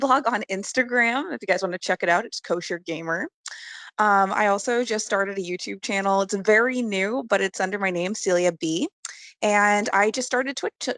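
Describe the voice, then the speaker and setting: A woman's voice: mid-range voice, a bit bright, no room sound. Female podcast guest, mid-range voice, chatty and giggly, casual talk, dry recording. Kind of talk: casual chat about games and films.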